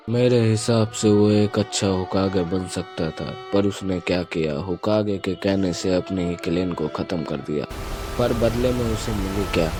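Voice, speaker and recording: A man's voice: lowish voice, close to an everyday voice. A man voicing a brooding, power-hungry young ninja rival with cold, menacing declarations, in an amateur anime fan dub. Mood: bitter. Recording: music behind the voice.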